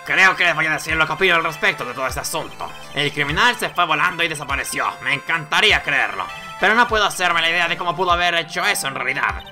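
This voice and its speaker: A performer voicing a stern but befuddled old male judge in a game, solemn and deliberate. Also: medium pitch, expressive, bright, forceful tone, soft background music. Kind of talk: video game dialogue read aloud with character voices.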